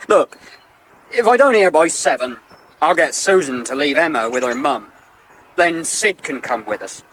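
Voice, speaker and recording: An actor playing a wry, nagging young village darts captain in a radio soap. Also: male voice, on the high side, animated, normal speed, fairly bright tone, rough recording. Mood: impatient. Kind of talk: 1980s radio soap acting. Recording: hissy 1980s radio broadcast recording.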